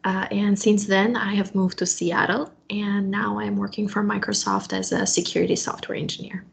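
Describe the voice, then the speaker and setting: A woman in her twenties from Albania introducing herself as an alumni panelist on a video call, speaking softly with hesitant "uh"s. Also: medium pitch, expressive, moderate pace, creaky, a little hiss or hum. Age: 20s.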